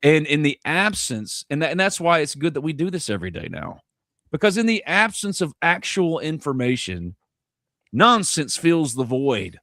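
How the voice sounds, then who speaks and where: A man's voice: fairly high pitch, very animated, normal speed, bright, forceful tone, dry recording, studio quality. A man from North Mississippi, hosting a live sports podcast in a rambling, joking, self-deprecating way. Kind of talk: casual live sports chat.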